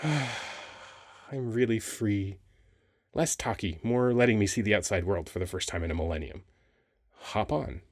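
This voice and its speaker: A man playing a handsome, playful male sphinx guarding a temple in an ASMR roleplay, talking in a teasing, expressive, conversational way. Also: lowish voice, moderate pace, long pauses, no echo, clean studio sound. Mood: excited, playful. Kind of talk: ASMR roleplay audio acting.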